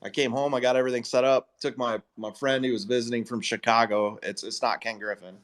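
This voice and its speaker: A man's voice: medium pitch, fairly even intonation, a little fast. A man hosting a live group call, chatting informally and with enthusiasm. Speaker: host of live investor audio calls. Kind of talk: casual chat in a live group audio call.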